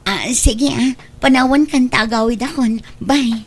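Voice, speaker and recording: A woman's voice: a little high, a little slow. An actress with an Ilocano Filipino accent playing an anxious, polite but firm married woman in a radio drama. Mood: polite, uneasy. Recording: clear background noise and ambience.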